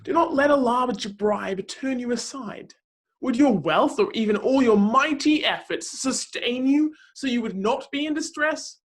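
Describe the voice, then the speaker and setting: A woman playing a zealous counselor who proclaims God's might in a declamatory, dramatic scripture reading with deliberate pauses. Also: fairly high pitch, close to an everyday voice. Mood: grave, solemn.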